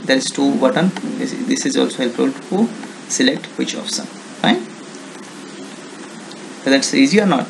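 Indian-accented man with a creaky voice. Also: medium pitch, lively intonation, noisy background. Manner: halting, non-native English phrasing with repeated check words. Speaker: software demonstrator. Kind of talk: informal screen tutorial, unscripted.

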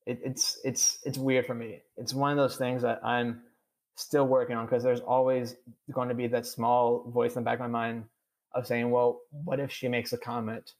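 A man's voice: medium pitch, flat delivery, normal speed, a little mellow, rough recording. A young man with a strong stutter, answering podcast interview questions candidly, with many repeated sounds and long strings of "uh". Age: young adult. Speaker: podcast guest.

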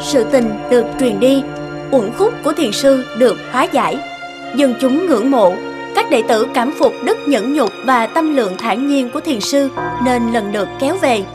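A woman's voice: high-pitched, animated, moderate pace, music in the background. A woman giving scripted storybook narration for a cartoon.

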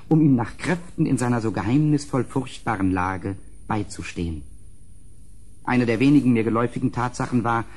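A grave gentleman narrator in a gothic radio play. His voice is husky and of medium pitch. He speaks in solemn, measured, literary sentences, as one haunted by what he describes. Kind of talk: dramatic gothic audio drama narration.

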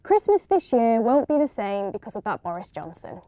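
A woman's voice: a little high, very animated, moderate pace, mellow, no room sound. A woman in her twenties reading stories aloud and presenting them to camera. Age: 20s. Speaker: story reader presenting children's stories.

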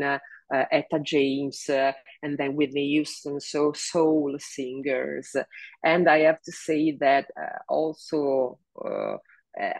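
Middle-aged woman, big low voice, slow unhurried talk, dry close sound, halting with fillers. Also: no room sound. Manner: halting, many fillers, laughs often. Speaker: singer, interview guest. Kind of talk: casual video call interview. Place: home room, seated in office chair.

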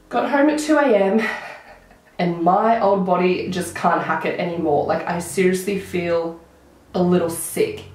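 A young woman with a croaky voice, chatting enthusiastically through a makeup tutorial to a close camera in a small room. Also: mid-range voice. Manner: chatty, enthusiastic product talk. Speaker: beauty vlogger. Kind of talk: casual makeup tutorial chat. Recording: very echoey room.

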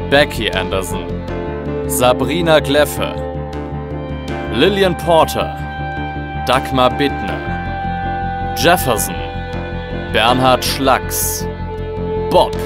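A man reading scripted credits as the announcer of an audio drama. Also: medium pitch. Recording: loud music under the voice.

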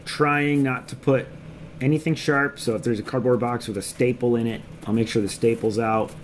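A man's voice: mid-range voice, fairly even intonation, moderate pace. A man in his thirties casually narrating a how-to homestead vlog in a basement workshop, easygoing and full of ums. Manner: easygoing, rambling, many ums. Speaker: homestead vlogger. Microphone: action camera on the body. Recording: noisy basement with chickens clucking. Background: chicks cheeping.

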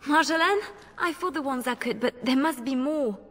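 A woman's voice: high voice, normal speed, a bit bright. A woman playing an earnest, principled young female spy in a video game, with a hesitant, pleading manner. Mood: anxious.

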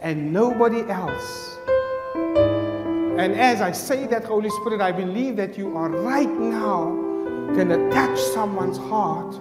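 A man in his thirties leading prayer and opening a sermon in a large church hall, loud and fervent, calling out to the congregation through a headset mic. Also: high voice, expressive, takes it slowly. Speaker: preacher leading worship. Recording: echoey church hall with live music.

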